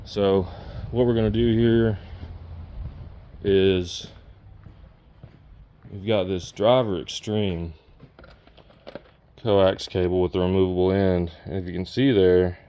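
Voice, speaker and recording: A man's voice: low-pitched, level tone, slow pace, pauses often, warm tone, clean tone, muffled recording. A man giving a casual, unscripted DIY walkthrough, talking plainly and pointing things out as he works. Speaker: DIY vlogger demonstrating a truck CB radio install.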